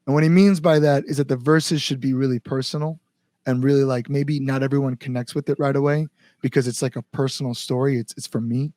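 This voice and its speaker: A man's voice: medium pitch, steady tone, a little fast, warm tone, no echo. A man chatting casually as a musician guest, rambling through stories with lots of likes and acted-out asides. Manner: rambling storyteller, frequent likes, acts out inner thoughts. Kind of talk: casual podcast chat.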